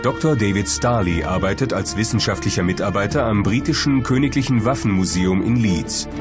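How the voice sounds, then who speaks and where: A man's voice: on the low side, brisk. A man reading scripted narration as the narrator of a history documentary. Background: music bed.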